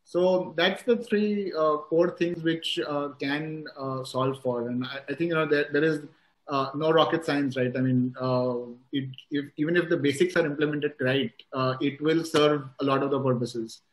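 A man explains his points on a video call and keeps checking in with "right?" and "you know". His voice is a little higher than most men's. The room around him gives the sound noticeable echo. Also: steady tone, normal speed. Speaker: panelist. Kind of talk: panel discussion on a video call. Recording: roomy video-call audio.

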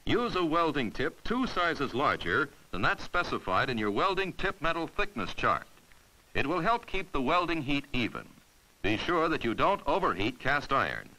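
A man giving scripted instructional narration for a 1950s educational film, patient and clear as he walks through each step. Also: fairly high pitch, bright, forceful tone, low-quality recording.

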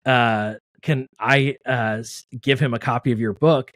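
A man's voice: medium pitch, steady tone, a bit bright, no room sound. A man in his forties hosting a podcast from a home studio, chatting casually and telling a story into a large studio mic. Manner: affirming, quick backchannels. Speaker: podcast host. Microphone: large studio mic with headphones.

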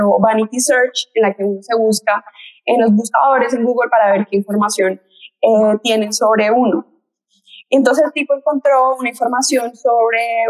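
A woman's voice: fairly high pitch, low-quality recording. A young woman with a Colombian accent, a lawyer and researcher giving an online lecture over a headset mic, explaining patiently with fillers and restarts. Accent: Colombian Spanish. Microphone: headset mic on a video call.

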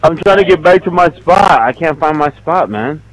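A young man playing along with friends, excitable and quick to swear when surprised. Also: fairly high pitch, soft background music, low-quality recording. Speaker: fellow player. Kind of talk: casual gaming chat.

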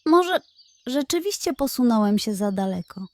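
Proud card king, acted by a woman, raised pitch, regal, commanding, dry recording. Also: big pitch swings, normal speed, a little mellow, dry recording. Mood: regretful. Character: proud, angry warrior king of spades. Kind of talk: children's storybook character voice.

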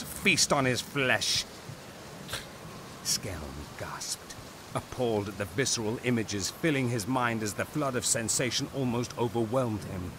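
A man narrating a scripted audio drama in a grave, deliberate, dramatic way. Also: fairly low pitch, noisy background.

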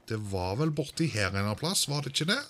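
A man's voice: mid-range voice, sing-song, a bit bright, a little hiss or hum. A man doing casual let's-play commentary, thinking aloud and sprinkling in English exclamations. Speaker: gaming video host.

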